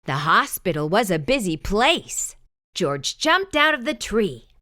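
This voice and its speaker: A woman reads a picture book aloud to children, slow and sing-song. Her voice is bright and swoops all over. She draws each sentence out for young listeners. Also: medium pitch. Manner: expressive, sing-song storytelling to kids. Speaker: children's storytime host.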